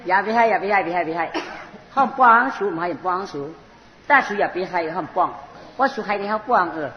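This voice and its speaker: A storyteller telling a comic folk tale in an animated way, often repeating short phrases. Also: mid-range voice, fast talker. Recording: muffled, noisy recording in a reverberant space.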